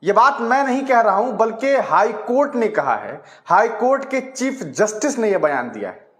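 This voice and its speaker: A man's voice: high voice, sing-song, moderate pace, echoey room. A man giving opinionated news commentary in an emphatic, indignant manner full of rhetorical questions.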